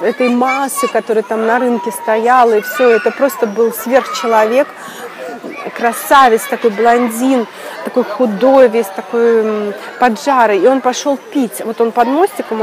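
A woman telling a personal story in a casual way, animated and laughing often, with many fillers and restarts. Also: a little high, background noise. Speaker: video blogger.

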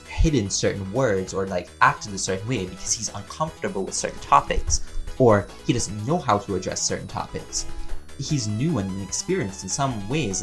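Playful, chatty man over background music. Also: lowish voice. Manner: playful, chatty, laughs often, animated emphasis. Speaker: voice actor answering listener questions. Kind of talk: casual rambling Q&A.

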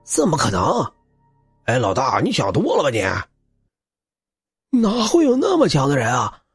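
A man voicing an excitable, brash male scavenger henchman in an audiobook. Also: medium pitch, normal speed, husky, no echo, soft background music, heavily acted. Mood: scoffing.

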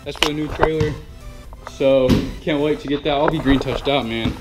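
A man's voice: fairly high pitch, normal speed, poor audio. Young man, upbeat lawn-care vlogger talking to a handheld camera, music bed behind. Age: young adult. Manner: chatty and upbeat, many fillers and "guys". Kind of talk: casual vlog talk to camera. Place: outdoors by a house and garage, earlier in a truck. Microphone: action camera held close.

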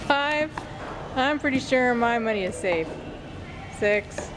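A woman playing mini-golf, counting strokes aloud and taunting her opponent in a playful way. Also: fairly high pitch. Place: indoor mini-golf course. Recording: loud noisy venue ambience.

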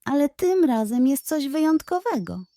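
Woman voicing an earnest little doll girl, raised pitch. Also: very animated, moderate pace, dark tone, dry recording. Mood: excited. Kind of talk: children's storybook character voice.

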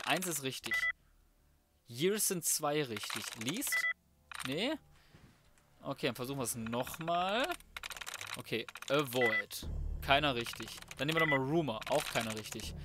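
A man's voice: fairly high pitch, expressive, normal speed, background noise. A young adult man giving casual, slangy let's play commentary, often muttering to himself as he plays. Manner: colloquial, slangy muttering to himself while playing. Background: loud game music, typing and gunfire.

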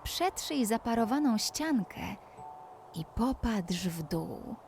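Very slow-paced woman telling a bedtime story. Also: on the high side, lively intonation. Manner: soothing, gentle, addressing a child listener. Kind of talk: slow scripted bedtime story with guided relaxation. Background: background music.

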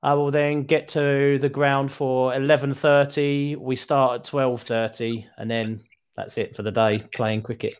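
A man's voice: mid-range voice, little pitch movement, a little slow, clean tone. Chatty British man on a headset. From United Kingdom. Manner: chatty, repeats words when starting a thought. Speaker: online English tutor. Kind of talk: casual conversation lesson. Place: small room at home. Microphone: headset, video call.

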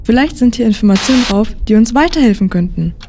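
A woman's voice: a little high, expressive, fast, a little mellow, a little hiss or hum. A female performer playing a determined, orphaned girl in a dystopian audio drama, speaking earnestly. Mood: resolute.

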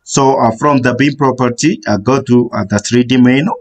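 A man narrating a screen-recorded software tutorial, giving step-by-step instructions in a halting way with many "uh" fillers. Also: mid-range voice.

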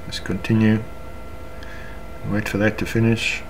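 A South African man with a fairly low voice gives an unscripted software tutorial. He explains each step haltingly as he goes. Faint noise and room ambience sit behind his voice. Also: flat delivery. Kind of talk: unscripted screencast walkthrough.

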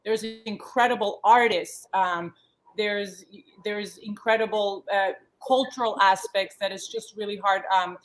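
A woman's voice: mid-range voice, steady tone, a little slow, poor audio. A woman in her thirties, born in the States and raised in Yugoslavia, speaking earnestly as a panel guest from her living room over a video call, with many restarts and fillers. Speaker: panel guest, former model. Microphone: laptop webcam on a video call.

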